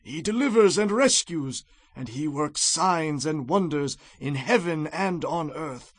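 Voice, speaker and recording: An ancient king in a Bible audio drama, reverent and full of feeling. His voice sits fairly high for a man and is recorded close and dry. He declaims in a stately, solemn way. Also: lively intonation, unhurried, dry recording. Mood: solemn proclamation. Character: anguished, reverent Persian king, loud and solemn.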